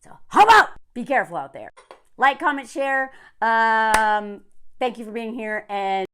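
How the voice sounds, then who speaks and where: An American woman from the South talking to camera in a casual, emphatic rant, sardonic and quick to find things funny. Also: fairly high pitch, animated, fairly bright tone, clean tone. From southern United States. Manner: emphatic, sardonic, laughing often. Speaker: online content creator.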